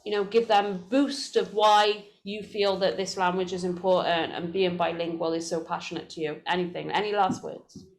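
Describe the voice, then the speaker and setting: A woman's voice: on the low side, steady tone, moderate pace, slight background noise. A woman hosting a podcast, upbeat and encouraging toward her guests. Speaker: podcast host. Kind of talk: casual chat.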